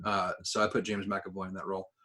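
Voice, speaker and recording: A man's voice: low voice, completely flat delivery, fast, bright, forceful tone. Casual, sweary man podcasting. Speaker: podcast co-host. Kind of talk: casual chat about games and films.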